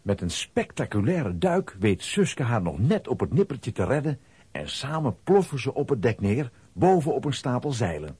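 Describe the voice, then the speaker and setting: Very husky, expressive male storyteller. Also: medium pitch, normal speed. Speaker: narrator. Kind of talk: scripted storytelling narration for children's audio drama.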